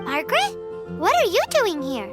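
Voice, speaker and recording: A woman voicing a tiny, sassy, chatty female companion creature in a game, indignant and very high-pitched, over loud game music. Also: normal speed, full character voice. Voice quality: very high, squeaky, lively. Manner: indignant, talks about herself in third person. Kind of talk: game voice acting.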